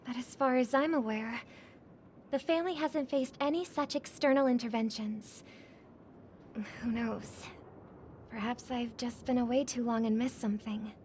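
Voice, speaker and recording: A woman playing an earnest, graceful young singer in a video game, speaking in a measured, formal and gentle way. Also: sits high, a little hiss or hum, lightly acted. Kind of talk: scripted video game voice acting. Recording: music behind the voice.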